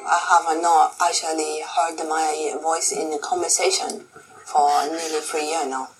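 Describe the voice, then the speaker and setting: A woman interviewed in a news clip, talking slowly and carefully, some words almost whispered. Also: low-pitched, level tone, roomy sound, soft background music, low-quality recording. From England. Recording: played TV clip with faint noise.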